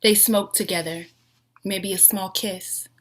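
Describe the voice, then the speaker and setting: A woman's voice: mid-range voice, steady tone, measured pace, noisy background. A woman reading stage directions as scripted narration for a play.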